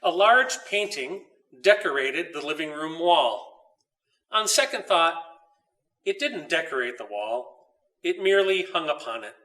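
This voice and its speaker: A man reading a children's story aloud as its author, in a measured, deliberate way with pauses. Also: a little high, very animated, pushed and bright, far from the mic, low-quality recording. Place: old dairy barn.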